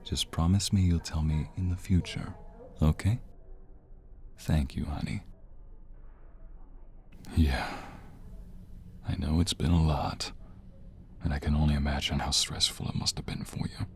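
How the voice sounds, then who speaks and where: A man playing a tender, weary young mobster, a devoted and remorseful boyfriend, in a soft, intimate ASMR romance roleplay. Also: fairly even intonation, slight background noise. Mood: gentle, reassuring. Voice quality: very deep, husky, breathy. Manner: soft, slow, intimate, sighing.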